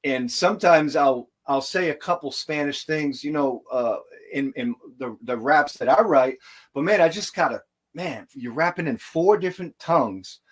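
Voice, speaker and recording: A man's voice: fairly high pitch, normal speed. An American man hosting a casual interview, laid-back and enthusiastic, who says man a lot. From United States. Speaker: podcast host and rapper.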